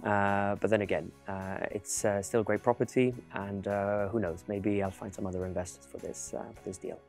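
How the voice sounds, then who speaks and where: A man who has pitched a property deal, speaking politely and hopefully. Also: little pitch movement, normal speed, a little mellow, soft background music.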